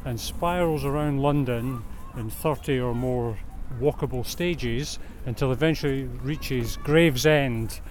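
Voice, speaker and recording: A man's voice: medium pitch, a little slow, few pauses. A middle-aged man with a Scottish accent, talking conversationally as an interview guest while out walking. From Scotland. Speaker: interview guest, walk organiser. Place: outdoors in a city park. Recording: outdoor recording with urban traffic noise.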